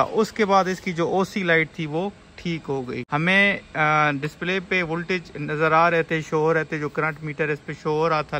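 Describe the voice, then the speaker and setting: Male repair technician, bright forceful voice, explanatory tutorial delivery, recorded over workshop noise. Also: a little high. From Pakistan. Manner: explanatory, step by step. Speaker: repair technician and video host. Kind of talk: informal hands-on repair tutorial. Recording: clear background noise in a workshop.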